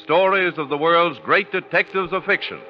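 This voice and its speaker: A man's voice: fairly high pitch, normal speed. A man with an American accent announcing and hosting an old-time radio show. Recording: muffled old radio broadcast. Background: music.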